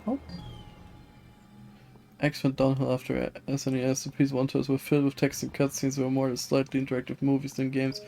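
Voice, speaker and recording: A man live streaming a video game, chatting casually with viewers in a hesitant, halting way that often repeats words. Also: medium pitch, completely flat delivery, vocal fry, dark tone. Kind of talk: casual chat while gaming, reading and answering viewer comments. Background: video game music.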